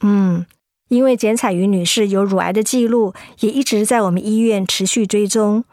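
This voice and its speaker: A woman's voice: medium pitch, moderate pace, a little mellow, no room sound, natural voice. Composed hospital doctor, woman acting, mid-range, clinical yet reassuring, dry studio radio drama. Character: calm, professional hospital doctor.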